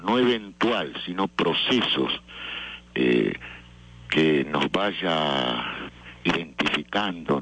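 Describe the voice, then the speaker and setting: An older man, a theatre organizer, answering interview questions thoughtfully with many pauses and fillers. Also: sits low, fairly bright tone, husky, no room sound, poor audio. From not Venezuela.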